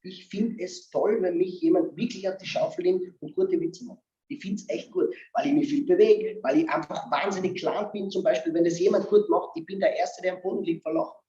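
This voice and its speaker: A man with an Austrian accent, a guest speaker on humor, talking in a lively, joking way in casual talk-show chat. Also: high-pitched, a little fast, few pauses, roomy sound, lo-fi sound. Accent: Austrian German.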